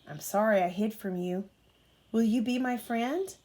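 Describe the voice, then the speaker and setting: Woman as a gentle, slow-spoken little engine. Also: a little high, close to an everyday voice. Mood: shy, friendly. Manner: earnest, kindly. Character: gentle, friendly young male narrow-gauge engine.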